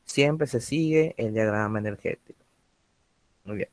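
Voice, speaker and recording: A man's voice: on the low side, a little slow, darkish tone, no echo. Man teaching, dry close sound. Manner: casual, asks students for questions. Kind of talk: online class explanation.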